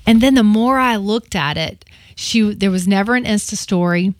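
A woman's voice: medium pitch, normal speed, no room sound, studio quality. A woman in her fifties, a radio host talking casually and confessionally on air, with many restarts. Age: 50s.

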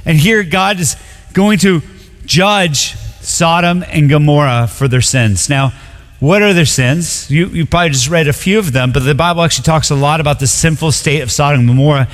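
A young man from Colombia preaching a sermon in a relaxed, conversational way, full of "like" and quick restarts. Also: fairly high pitch. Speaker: pastor. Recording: long reverb of a large hall.

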